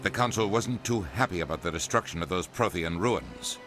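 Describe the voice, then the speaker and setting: An actor playing a sober, cautioning senior official in a video game, measured and grave. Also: male voice, sits low, animated, fairly bright tone, soft background music.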